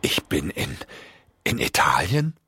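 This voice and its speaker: Audiobook role: narrator as bewildered male patient, urgent, husky, swooping pitch. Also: big pitch swings, takes it slowly, bright, forceful tone, dry recording, clean studio sound, exaggerated voice. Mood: confused, alarmed. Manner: urgent, confused. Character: bewildered, agitated male hospital patient with amnesia.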